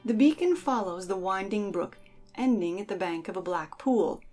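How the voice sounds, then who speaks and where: A woman's voice: medium pitch, animated, normal speed, darkish tone, faint music behind. A woman reading dramatic second-person adventure narration as a storyteller. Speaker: podcast host and narrator.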